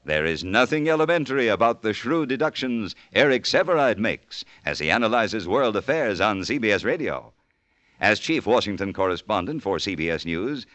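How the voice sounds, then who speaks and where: 1950s American radio announcer, male, formal and polished, animated delivery, dry close studio sound. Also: mid-range voice, brisk, no echo. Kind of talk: scripted 1950s radio promo. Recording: dull vintage radio recording, dry.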